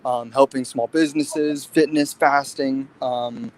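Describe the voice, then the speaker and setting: A man's voice: medium pitch, fairly even intonation, a little slow. A man in his late twenties talking casually and earnestly in a livestream conversation. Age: late 20s. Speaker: fitness guest.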